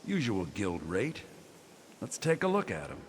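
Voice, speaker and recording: An actor playing a shrewd, guarded underworld trader in a fantasy video game, with a dry, businesslike manner. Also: male voice, lowish voice, huge pitch swings, measured pace. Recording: long reverb with faint ambient noise.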